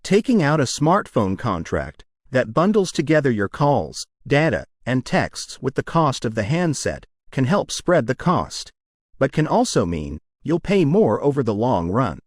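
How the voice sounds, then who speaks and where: Man reading practice passages, lively intonation. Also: medium pitch, a little slow, no room sound, clean studio sound. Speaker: narrator of English test practice passages. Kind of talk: scripted read-aloud narration.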